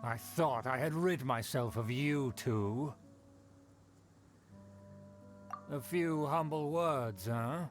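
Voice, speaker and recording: A man's voice: mid-range voice, slow pace, lightly acted. A man playing a haughty, condescending nobleman in a video game, with ornate, formal speech. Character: pompous, condescending male aristocrat of fallen noble clan. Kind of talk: video game character acting. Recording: studio voice over loud music.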